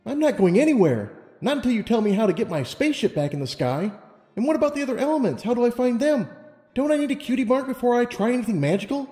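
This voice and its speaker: A man's voice: very high voice, big pitch swings, quick pace, dark tone, pitched above the performer's own voice. A man voicing an anxious, defiant young female unicorn pony in a storybook reading, questioning and agitated. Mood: urgent, demanding. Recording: long reverb, music underneath.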